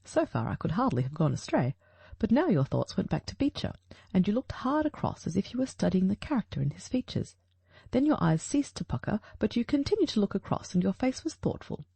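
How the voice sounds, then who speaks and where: A woman's voice: mid-range voice, big pitch swings, a little fast, creaky, mellow, slightly rough, no room sound, full character voice. A woman reading the part of a shrewd, self-assured male detective in an audiobook, precise and formal as if teaching. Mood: explaining calmly.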